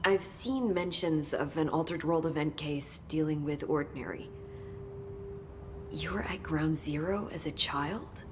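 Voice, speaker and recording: An actress playing an earnest woman researcher at a secret agency, speaking softly and thoughtfully in game cutscenes. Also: low voice, unhurried, background noise. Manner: soft, hesitant, thoughtful with sighs. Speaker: game character. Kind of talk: video game cutscene dialogue.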